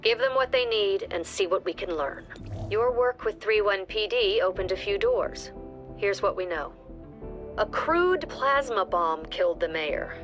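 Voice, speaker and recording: A woman playing a stern, composed director of a security agency, giving formal, measured briefings in a video game. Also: mid-range voice, animated, lightly acted. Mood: grave, resolute. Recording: music behind the voice.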